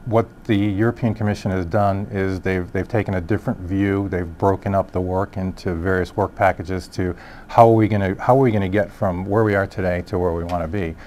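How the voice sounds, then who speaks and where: Low-voiced man explaining as an expert. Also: steady tone, a little fast, darkish tone, slight background noise. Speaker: industry expert, solutions director. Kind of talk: explanatory interview answers.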